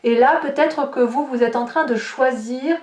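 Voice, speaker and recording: A woman's voice: high voice, moderate pace, a little mellow. A woman giving a tarot reading to her viewers, searching for her words and often repeating them. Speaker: tarot reader and host. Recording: roomy, echoing sound.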